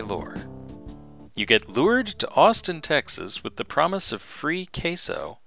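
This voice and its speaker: A man hosting a podcast, reading a scripted intro in a lively, upbeat way. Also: medium pitch, no room sound. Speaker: podcast host.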